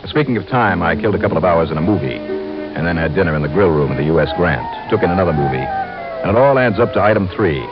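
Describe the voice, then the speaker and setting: An actor playing a wry, hard-boiled insurance investigator in 1950s radio detective style, sardonic and clipped. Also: male voice, low-pitched, lively intonation, quick pace. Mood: wry narration. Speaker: lead actor and narrator. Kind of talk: 1950s radio detective acting, first-person narration. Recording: muffled old radio broadcast, music behind.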